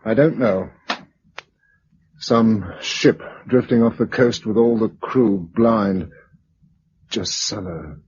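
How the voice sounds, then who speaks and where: A man's voice: lowish voice, takes it slowly, long pauses, darkish tone, breathy edge. A man acting in a British radio drama as a practical, level-headed survivor, speaking in a clipped, matter-of-fact way with a British accent. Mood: tense, doubtful. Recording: dull lo-fi radio recording, faint noise.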